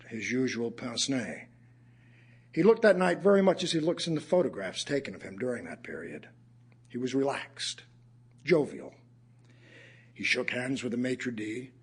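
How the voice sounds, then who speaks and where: An actor playing a weary, wry male writer, reciting poems and prose as a measured, literary storyteller in an audio play. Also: mid-range voice, breathy edge.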